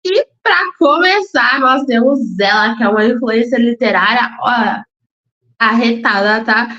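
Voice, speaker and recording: A woman's voice: fairly high pitch, measured pace, pushed and bright. A woman hosting a podcast interview, upbeat and chatty, often repeating words for emphasis. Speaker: podcast host. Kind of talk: casual interview chat. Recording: echoey, dull lo-fi recording.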